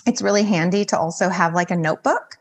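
A woman with a slightly low voice talks as a life coach on a podcast. She gives advice in a casual, conversational way, using informal phrasing and plenty of "like". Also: fast talker. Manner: informal, heavy use of "like".

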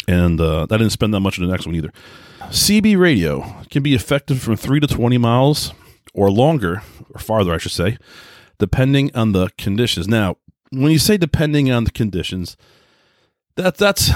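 A middle-aged man with an American accent talking casually and informally in a rambling monologue, often halting and rephrasing himself. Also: on the low side, no echo, clean studio sound. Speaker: podcast host, radio hobbyist.

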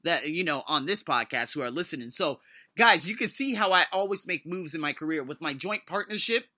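An excitable man in his thirties talks through his own motivational podcast. His voice sits a little high and has a very sharp, bright edge. He stays emphatic throughout and jumps even higher in pitch whenever something thrills him. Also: a little fast. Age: 30s. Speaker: podcast host. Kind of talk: motivational solo podcast monologue.

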